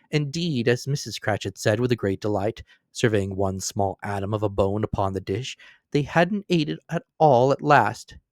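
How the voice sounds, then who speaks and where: A man's voice: medium pitch, no echo, clean studio sound. A man narrating a classic story as a dramatic reading, lifting and dropping his voice for emphasis. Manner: expressive, rising and falling for emphasis.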